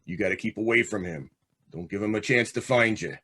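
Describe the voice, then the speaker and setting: A hard, scheming gangster acted by a man in a radio play, with a lowish voice. He talks in tough slang and clipped commands, bitter and set on revenge. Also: brisk, bright, forceful tone, slightly rough. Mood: scheming, coaxing. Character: hard, scheming condemned gangster, vengeful manipulative older brother. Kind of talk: old-time radio drama acting.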